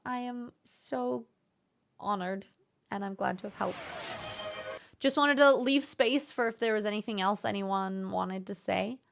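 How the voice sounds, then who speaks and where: Gentle, encouraging young woman streamer. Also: mid-range voice, unhurried, vocal fry, faint music behind. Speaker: streamer hosting a chat discussion. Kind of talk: casual live-stream chat, reading viewer messages aloud.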